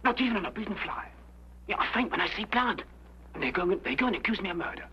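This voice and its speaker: An actor playing a chatty Cockney escape artist on the run, rambling and pleading in an old detective drama. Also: male voice, high-pitched, lively intonation, fast, pushed and bright, a little hiss or hum. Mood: indignant. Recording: dull, humming old broadcast recording.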